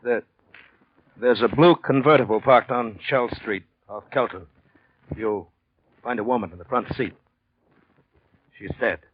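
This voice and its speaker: A guilty, uneasy artist speaks tersely and guardedly in a medium-pitched voice. The style is 1940s radio drama, with the muffled sound of an old recording. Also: male voice, a little slow, long pauses, breathy edge. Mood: tense, halting. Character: guilty, guarded male artist hiding murder. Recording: dull vintage radio recording.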